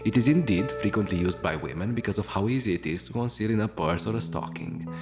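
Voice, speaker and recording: A man's voice: on the low side, fast, lightly acted. A man playing a melancholic, world-weary radio host, delivering a brooding, wry confessional monologue in florid words. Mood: wistful. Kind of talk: scripted radio monologue, noir-like confessional narration. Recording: music under the voice. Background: guitar music.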